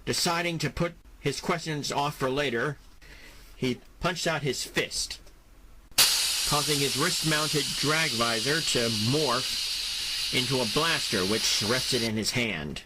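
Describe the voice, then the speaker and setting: A man's voice: medium pitch, slow pace, heavy background noise. A man narrating a scripted action audio drama as its storyteller. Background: music.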